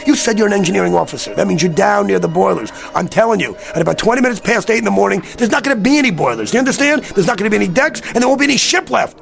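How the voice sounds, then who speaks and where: Agitated American man, high and gravelly. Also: lively intonation, rapid-fire, few pauses, faint music behind, full character voice. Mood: urgent, insistent. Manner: insistent, pleading, streetwise talk. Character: agitated, desperate American man haunted by a recurring dream. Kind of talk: vintage radio drama acting.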